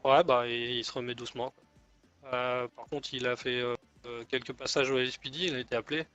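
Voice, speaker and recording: An easygoing street gang leader , played by a man talks in an online game roleplay. He is respectful and conciliatory, with a hesitant, casual delivery at a middle pitch. The sound is dull, like lo-fi voice chat. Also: fairly even intonation, brisk, a bit bright, smooth, soft background music, lightly acted. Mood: agreeable.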